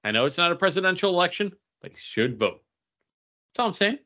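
A man's voice: sits high, sing-song, quick pace, bright, forceful tone, lo-fi sound. A man co-hosting a podcast with casual, emphatic and opinionated commentary. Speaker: podcast co-host.

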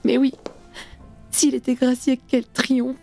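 A woman's voice: sits high, flat delivery, takes it slowly, creaky, a little mellow, faint music behind, exaggerated voice. An actress playing an anguished, defiant young revolutionary woman in a radio play, grave and intense. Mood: bitter, agitated. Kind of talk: French radio play acting.

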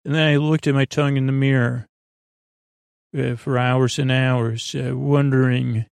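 A man hosting a podcast with a meandering bedtime monologue, halting and digressive, trailing off and restarting his sentences in a creaky voice. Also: mid-range voice, little pitch movement, darkish tone, dry recording, studio quality. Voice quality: creaky, gravelly. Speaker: podcast host.

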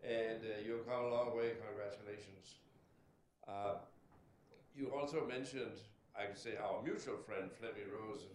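A man's voice: on the low side, steady tone, a little slow. A Danish-accented man speaks up from the audience, winding through a long anecdote before he reaches his point. His voice rings through a roomy hall, and a faint hiss sits behind it. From Denmark. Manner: rambling, storytelling. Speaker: audience member asking a question. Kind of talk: question from the floor at a panel talk.

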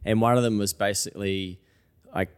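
A man's voice: lowish voice, steady tone, moderate pace, clear, resonant tone. A man in his thirties with a New Zealand accent, hosting a casual chat in an informal, anecdotal, self-deprecating way. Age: 30s. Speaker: interview host.

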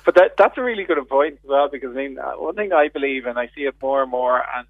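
A man's voice: medium pitch, expressive, quick pace, creaky. Irish man on a tinny phone line. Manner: earnest, explanatory, many fillers and restarts. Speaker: radio guest, education advocate. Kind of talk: phone-in radio discussion.

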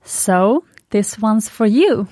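A woman's voice: mid-range voice, expressive, slow pace, mellow, no echo. Woman, Swedish native speaker, podcast host, enthusiastic, punny, dry close sound. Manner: chatty, enthusiastic, fond of puns. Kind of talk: scripted podcast monologue.

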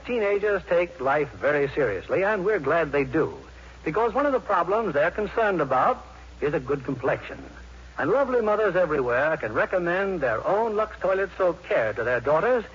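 A man's voice: a little high, fairly bright tone, slightly rough. A man working as announcer and narrator in a formal, theatrical 1950s scripted radio style. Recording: muffled vintage radio broadcast.

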